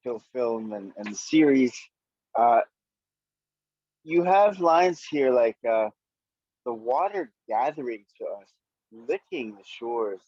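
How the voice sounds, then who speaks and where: A man hosting an online poetry reading, giving spontaneous, halting and appreciative commentary in muffled audio. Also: medium pitch, animated, darkish tone, dry recording.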